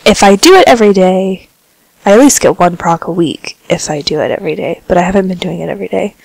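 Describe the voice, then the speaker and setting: A woman with a slight cold giving relaxed, chatty commentary over her gameplay, sounding a little congested. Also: lowish voice, fast, vocal fry, husky, dry recording, rough recording. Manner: relaxed, chatty, filler-heavy. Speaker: gaming vlogger.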